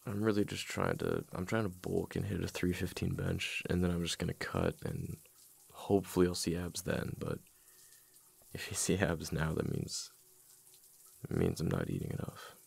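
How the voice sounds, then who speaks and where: A deep-voiced husband, acted by a man for a boyfriend ASMR roleplay, with heavy vocal fry and a husky rasp. He talks casually and haltingly, repeating words as he mutters. His manner is fond but playfully exasperated. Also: animated, darkish tone, no room sound, heavily acted. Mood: teasing, affectionate.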